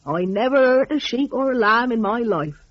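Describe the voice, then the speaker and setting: A gentle old shepherd as a woman storyteller plays him, hospitable and folksy, full of soothing endearments. The voice is husky and swings up and down in pitch. The recording is slightly dull and lo-fi. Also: lively intonation, moderate pace, dry recording. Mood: reassuring.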